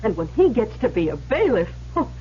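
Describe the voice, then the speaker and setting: A woman's voice: medium pitch, very animated, brisk, warm tone, natural voice. Casting: anxious peacemaking farm wife female performer, mid-range voice, vintage radio acting, muffled sound. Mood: coaxing. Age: middle-aged. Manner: fretful, soothing, theatrical. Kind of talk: old-time radio drama acting. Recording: muffled, faintly noisy vintage radio recording.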